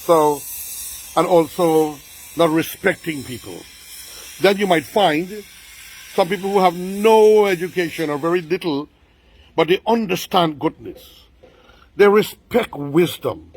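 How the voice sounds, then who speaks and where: A man's voice: on the high side, unhurried, pauses often, breathy edge, poor audio. A man, speaking to viewers in a grand, ornate monologue with lots of repetition. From Guyana. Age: 60s. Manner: grandiloquent, ornate word choice, repeats for emphasis. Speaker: online show host.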